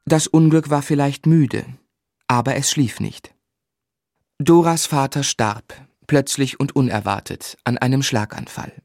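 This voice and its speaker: Actor as earnest young gentleman, dry studio sound. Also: mid-range voice, measured pace, no echo, lightly acted. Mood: reflective. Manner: earnest, literate, romantic. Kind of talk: German radio play acting and narration.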